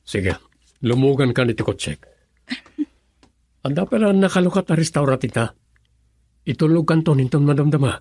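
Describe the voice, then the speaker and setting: Casting: concerned, respected gentleman for Ilocano radio drama; Filipino accent, animated delivery, close dry recording. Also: a little high, moderate pace, pauses often, slightly rough, no echo. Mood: concerned, insistent. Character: concerned gentleman driver, male, addressed respectfully as sir.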